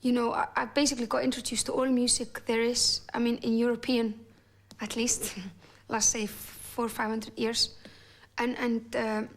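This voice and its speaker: A woman's voice: a little high, flat delivery, moderate pace. A woman with an Icelandic accent, talking reflectively in a documentary interview and often restarting and repeating words as she searches for her thoughts.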